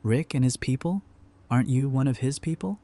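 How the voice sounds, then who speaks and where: A man's voice: medium pitch, a little fast, dark tone, lightly acted. A man playing an easygoing, pragmatic apocalypse survivor in a fan audio drama, speaking in a casual, wry way. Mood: curious.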